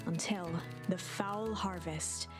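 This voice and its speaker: A woman playing a calm, knowing storybook narrator in a game, speaking in a measured, theatrical way. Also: on the low side, over music. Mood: measured storytelling.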